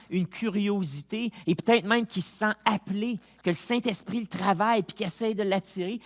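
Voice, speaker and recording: A man with a Québécois accent, a pastor preaching a sermon who moves from quiet reflection to loud, rising appeals, with chatty personal asides. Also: sits high. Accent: Québécois French. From Quebec. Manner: chatty asides with fillers and laughs.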